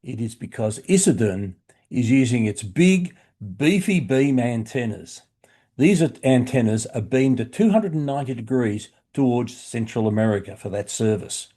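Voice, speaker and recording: An Australian man narrating a hobby explainer video in a measured, instructive way, addressing the listener in a friendly manner. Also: medium pitch, expressive, warm tone. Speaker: shortwave radio hobbyist presenter.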